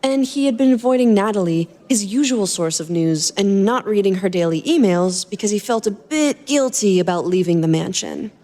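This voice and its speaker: A woman reading fan fiction aloud as a podcast host, in an expressive storytelling style. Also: medium pitch, normal speed, few pauses, in a large hall.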